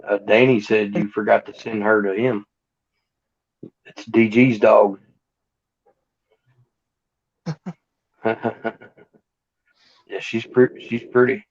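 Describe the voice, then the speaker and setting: Co-host, late 30s man, low gravelly voice, laid-back storyteller, muffled lo-fi stream audio. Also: level tone, takes it slowly, long pauses. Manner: laid-back, chatty, tells stories. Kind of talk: casual livestream chat.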